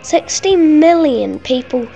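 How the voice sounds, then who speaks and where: A woman's voice: high-pitched, lively intonation, slow pace, vocal fry, warm tone, faint music behind, low-quality recording. A woman reading scripted narration for a school project, carefully and with some stumbles over words. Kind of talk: scripted narration, read aloud.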